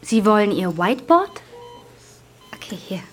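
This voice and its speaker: A woman's voice: mid-range voice, big pitch swings, unhurried. A woman playing a solicitous nurse who talks down to a patient in an audio drama. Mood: patronising, puzzled. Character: female hospital nurse, solicitous, talking down to patient. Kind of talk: acted dialogue in an audio drama.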